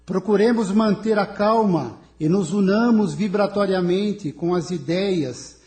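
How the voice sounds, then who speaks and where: A man playing a solemn, benevolent leader of an alien council in an audio drama, speaking in a measured, formal way. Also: sits high, warm tone.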